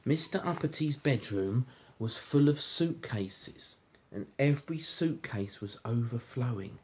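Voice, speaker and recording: Dad reading aloud, very animated intonation. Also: male voice, mid-range voice, unhurried. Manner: coaxing, child-directed, with tag questions. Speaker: parent reading a bedtime-style story to a child. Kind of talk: storybook reading with chat to a child.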